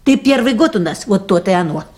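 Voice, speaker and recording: A woman's voice: medium pitch, lively intonation, fast talker, a little mellow, close to an everyday voice. Actress as a worried, scolding boatwoman. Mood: grumbling, stern. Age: middle-aged. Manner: folksy, grumbling, exclamatory. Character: worried, motherly, scolding older boat mechanic woman. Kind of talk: 1980s Soviet radio play acting.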